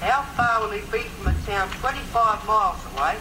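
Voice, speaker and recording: A man plays a schoolboy pupil in a 1930s radio comedy, pitched high and full of questions. The old recording is echoey, with clear noise around the voice. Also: brisk, lightly acted. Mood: reciting. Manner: questioning, interrupting, addressing the teacher as sir.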